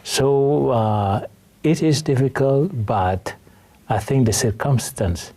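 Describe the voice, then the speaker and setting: A middle-aged man with a Sudanese accent, giving a halting, measured personal account as an interview guest. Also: medium pitch, mellow.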